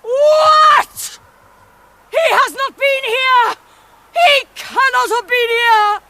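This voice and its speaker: A woman's voice: very high-pitched, very slow, very noisy, exaggerated voice. A woman playing a cruel, imperious witch queen in a radio drama, with a British accent and a haughty, commanding, theatrical manner. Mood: enraged. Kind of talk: dramatic radio play acting.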